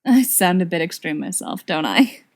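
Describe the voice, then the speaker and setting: A woman's voice: fairly low pitch, animated, normal speed. An earnest young wizard played by a woman reader in a fantasy audiobook. He talks persuasively and thoughtfully, with a bitter, aggrieved conviction under the polite manner. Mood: rueful, probing.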